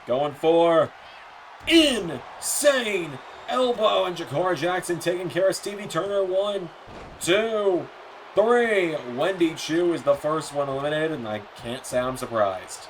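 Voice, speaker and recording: A man doing play-by-play commentary for a wrestling video game, his voice a bit hoarse and softer than usual, with slips and self-corrections. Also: medium pitch, a little hiss or hum, low-quality recording. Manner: rapid play-by-play, rising excitement on near-falls.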